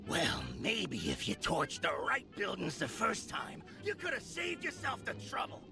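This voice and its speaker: A man's voice: sits high, wildly swooping pitch, unhurried, gravelly, exaggerated voice. An actor playing a bullying, impatient male mob boss in a crime noir game, sarcastic and barking in tough-guy slang. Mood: scolding. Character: bullying middle-aged male mobster boss, impatient and menacing. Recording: loud game music behind.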